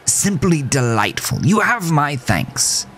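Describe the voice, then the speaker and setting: A man's voice: fairly high pitch, very animated, slow, fairly bright tone, a little hiss or hum, voice pushed up, heavily acted. A man voicing a dapper, sinister talking horse in a horror audio story, theatrical, formal and effusively genteel. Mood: delighted, teasing.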